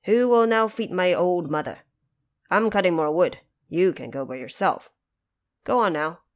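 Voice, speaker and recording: A woman's voice: on the low side, moderate pace, natural voice. Woman playing a blunt, busy male woodcutter. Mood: exasperated. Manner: blunt, plain-spoken. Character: gruff, busy male woodcutter supporting his mother.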